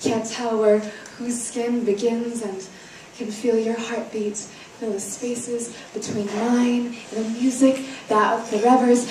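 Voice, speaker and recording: A woman with a fairly high voice performs slam poetry with dramatic, deliberate phrasing and drawn-out words. She is heard from a distance in a very echoey room, with faint noise in the recording. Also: flat delivery, darkish tone. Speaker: spoken word poet.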